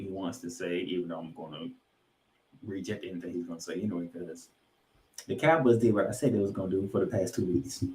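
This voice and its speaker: A man's voice: on the low side, fairly bright tone. Echoey man recapping sports emphatically. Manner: emphatic delivery, repeating points for effect. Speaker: sports podcast host. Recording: roomy, echoing room sound.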